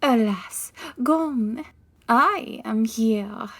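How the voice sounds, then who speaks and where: An actress playing a sultry, melodramatic French temptress who speaks broken English with dramatic pauses in a comic radio drama. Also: female voice, a little high, fairly bright tone, heavily acted. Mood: seductive, mournful. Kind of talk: comedic old-time radio detective acting.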